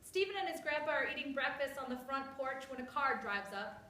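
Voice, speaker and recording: High-pitched woman narrator in an echoey room. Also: animated, brisk, sharp tone. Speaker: narrator reading stage directions. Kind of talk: scripted narration. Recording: echoey room, distant mic, background noise.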